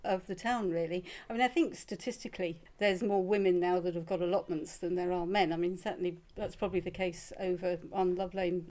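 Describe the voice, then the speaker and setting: A woman's voice: on the low side, fairly even intonation, fairly quick, few pauses, dark tone. A woman with a British accent in a casual interview, speaking as a local event organiser. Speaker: flower show organiser, interviewee.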